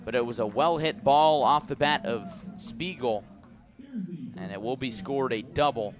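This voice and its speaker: A man calling live baseball play-by-play for the radio in a measured, descriptive way. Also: mid-range voice, music in the background. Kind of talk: live radio sports commentary.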